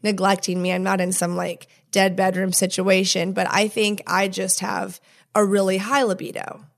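A woman's voice: mid-range voice, level tone, normal speed, gravelly. A woman talking casually and candidly in an interview, frank and swearing freely. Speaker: podcast host appearing as guest.

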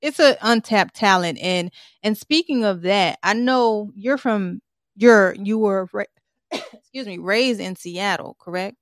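A woman's voice: mid-range voice, unhurried, no echo, clean studio sound. A woman in her forties from Tennessee hosting an interview, talking in a loose, rambling way full of fillers. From Tennessee, USA. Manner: rambling, uses fillers like "you know" and "like". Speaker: interview host. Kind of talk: casual interview chat.

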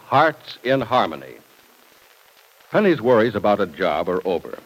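A man's voice: medium pitch, rough recording. An American man announcing and narrating in a folksy, emphatic mid-century radio sales style, on an old broadcast recording. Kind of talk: 1940s-50s radio sponsor announcing.

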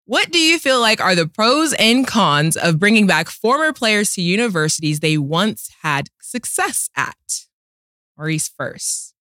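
A woman from the Tampa area, co-hosting a podcast in a studio, animated and laughing often. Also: medium pitch, unhurried, no room sound. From Tampa area, Florida. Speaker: podcast co-host. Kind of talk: casual chat, asking questions.

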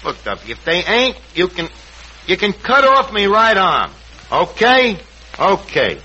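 Brash, animated New York tavern wiseguy. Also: male voice, high-pitched, a little slow, full character voice. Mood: eager, blustery. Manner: streetwise slang and malapropisms. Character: brash, wisecracking tavern man mangling fancy words. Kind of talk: 1940s radio comedy acting. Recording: noisy, dull 1940s radio recording.

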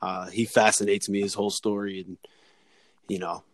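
Dry-voiced man telling history, unhurried. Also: on the low side, level tone, dry recording. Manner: measured, hesitant storytelling with fillers. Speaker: guest. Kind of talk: casual podcast chat. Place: home, remote call.

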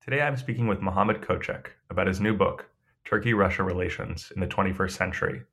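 A man's voice: low-pitched, fast talker, creaky, fairly bright tone, slightly rough. A man hosting a book interview podcast, talking in a hesitant way with lots of fillers and restarts. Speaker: podcast host.

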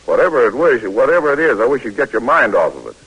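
An actor playing a brisk army lieutenant who gives firm, clipped orders, in 1940s radio drama style. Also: male voice, medium pitch, big pitch swings, a bit bright, breathy edge, exaggerated voice, rough recording. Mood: stern.

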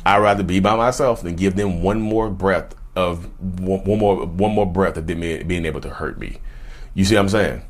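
Deep-voiced man, emphatic. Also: moderate pace. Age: late 30s. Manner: emphatic, repeats phrases for stress; colloquial, folksy address to listeners. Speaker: vlogger speaking about his own narcissism. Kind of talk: casual direct-to-viewer talk.

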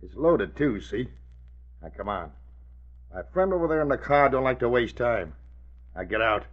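A man's voice: medium pitch, sing-song, moderate pace, lightly acted. A man playing a curt, threatening gunman hijacker who talks in gangster slang, in 1940s radio acting. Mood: menacing. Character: menacing male gunman hijacker, tough and curt. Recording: muffled old radio recording.

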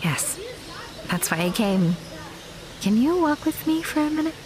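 A woman's voice: high-pitched, sing-song, measured pace, background noise. An actress playing a candid, guarded young woman in a naturalistic audio drama, talking in a casual, direct way. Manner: frank, casual, conversational.

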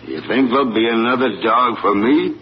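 An actor playing a grieving old man, speaking in a slow, halting, folksy drawl. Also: medium pitch, expressive, natural voice. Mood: sorrowful. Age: older. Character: grieving old rural hound-dog man. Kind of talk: 1940s radio acting. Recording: dull 1940s radio broadcast, faint hiss.

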